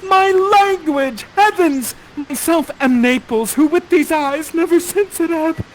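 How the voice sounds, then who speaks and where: A man voicing a grieving, lovestruck young nobleman, declaiming verse in a high, loud voice. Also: measured pace, slight background noise, poor audio. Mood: emotional.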